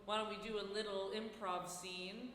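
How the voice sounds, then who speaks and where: A woman's voice: mid-range voice, fairly even intonation, moderate pace, fairly bright tone. A woman hosting a casual interview chat as interviewer and improv teacher. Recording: very echoey room with background noise.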